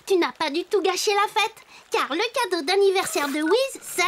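A performer voicing a cheerful, kind little toy boy in a children's cartoon dub, earnest and clearly childlike. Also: very high voice, lively intonation, normal speed, a bit bright, slight background noise. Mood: cheerful, friendly. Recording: music under the voice.

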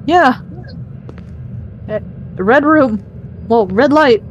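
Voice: high voice, lively intonation, very slow, dull audio, a little hiss or hum. A player chatting casually with friends during a game, nervous and often repeating short phrases. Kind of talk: casual gameplay chat with friends.

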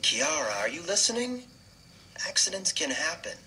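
Bright-voiced, protective lion king father. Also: fairly high pitch, big pitch swings, normal speed, slight background noise, heavily acted. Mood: worried, cautioning. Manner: earnest, admonishing. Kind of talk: animated film acting.

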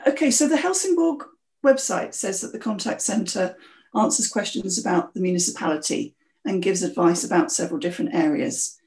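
A woman hosting a podcast, introducing the show and asking a guest clear, friendly questions. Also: medium pitch, brisk, a little mellow. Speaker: podcast host. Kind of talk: interview podcast.

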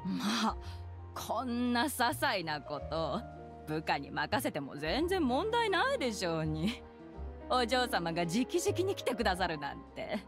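A woman playing a polite, flattering boutique shopkeeper in an anime game, courteous and deferential. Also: mid-range voice, unhurried. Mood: polite, amused. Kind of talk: Japanese anime game voice acting. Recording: music behind the dialogue.

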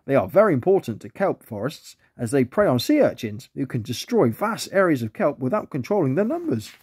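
A man's voice: mid-range voice, big pitch swings, fairly quick, a little mellow, husky, no echo. A man voicing a kindly, proper grown male lobster who explains sea life in a children's story. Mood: explaining.

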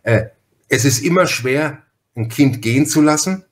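Male podcast host, mid-range pitch, talkative and personal conversational interviewer. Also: lively intonation, a little slow. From Franconia, Germany.

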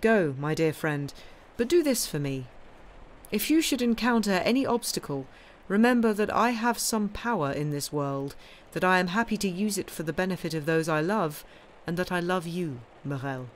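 An audiobook reader voicing a wealthy, courteous nobleman who speaks calmly and gently. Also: medium pitch, a little hiss or hum, lightly acted. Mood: warm earnest. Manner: calm, courteous, measured. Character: suave wealthy male count, gentle and commanding. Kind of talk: audiobook dialogue.

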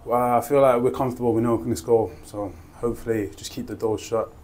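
A man's voice: medium pitch, steady tone, fast talker, a little mellow, slight background noise. A young man, a footballer giving a post-match interview in a modest, laid-back way with lots of fillers, on a lapel mic indoors. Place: indoor interview room by a sponsor board.

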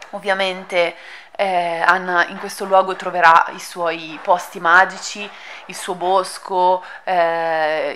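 A woman's voice: lowish voice, sharp tone. A woman giving an informal spoken book review, hesitant and thinking aloud as she goes. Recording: audible background noise.

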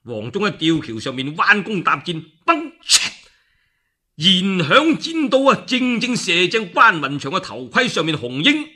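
Husky, bright-voiced Cantonese storyteller, a man. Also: sits high, wildly swooping pitch, a little slow. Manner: theatrical, with exclamations and spoken sound effects. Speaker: radio storyteller. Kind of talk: dramatic one-man narration of a classical war tale.

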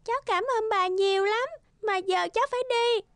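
A woman's voice: very high voice, steady tone, normal speed, fairly bright tone, dry recording. A woman voicing an earnest, anxious little girl in a children's cartoon, plaintive and pleading.

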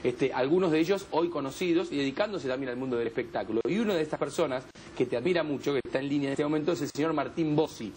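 A man's voice: fairly high pitch, fast, few pauses, darkish tone, slight background noise. A man with an Argentine accent hosting a TV talk show, bantering and laughing with his guest. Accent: Argentine Spanish. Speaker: TV host. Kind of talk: casual chat. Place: TV studio, indoors.

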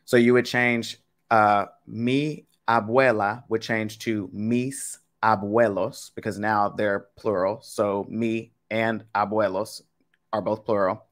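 A low-pitched young man running a live-streamed language quiz from his home setup. He speaks in a loose, chatty way, addressing the chat directly, with a somewhat deep voice. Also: flat delivery, slow pace. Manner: casual, chatty, talks to chat with y'all. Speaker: Spanish teacher. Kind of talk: live-streamed game lesson.

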